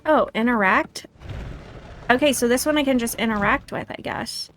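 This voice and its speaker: A woman's voice: on the high side, normal speed, fairly bright tone, soft background music. A woman hosting a casual game livestream, chatting and thinking aloud in a self-deprecating way. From United States. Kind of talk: casual livestream chat and gameplay commentary.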